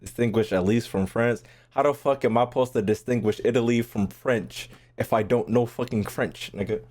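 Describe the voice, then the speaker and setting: A man's voice: medium pitch, normal speed, a little mellow. A young American man giving casual, slangy, joking live game commentary as a streamer. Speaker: gaming streamer. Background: faint game music.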